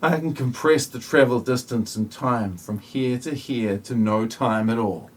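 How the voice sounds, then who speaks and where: A man playing a solemn, grandiose messianic figure who judges humanity, speaking in a husky, stilted, portentous lecturing manner. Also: mid-range voice, measured pace, lightly acted.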